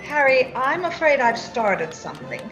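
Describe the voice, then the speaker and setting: A woman's voice: sits high, big pitch swings, normal speed, highs cut off. A woman acting as an eager, scheming young woman in a radio drama, recorded with echo over music. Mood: urgent, advising. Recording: echoey video-call recording.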